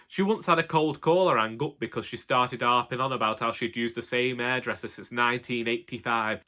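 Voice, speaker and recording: Male actor as elderly henpecked husband, Northern English accent, bright forward voice, wry deadpan grumbling, comic audiobook storytelling. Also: mid-range voice, natural voice. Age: older. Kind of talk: comic scripted monologue narration.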